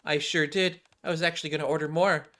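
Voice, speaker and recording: A man acts out a cheerful schoolgirl from a video game story. The delivery is bubbly and full of exclamations, pitched somewhat high with a bright, forward tone. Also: steady tone, fast talker.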